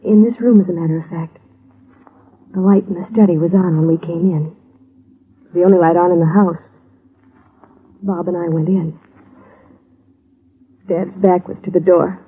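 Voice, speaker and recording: A woman's voice: on the low side, steady tone, moderate pace, long pauses, husky. An actress playing a grieving, polite young woman in a 1950s radio drama, earnest and subdued. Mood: somber recounting. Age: young adult. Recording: muffled, tinny old radio recording.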